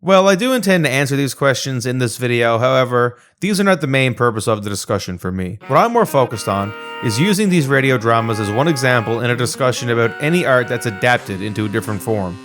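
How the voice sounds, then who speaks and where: A man's voice: medium pitch, lively intonation, fast, hardly any pauses, fairly bright tone, faint music behind. A man narrating a scripted video essay.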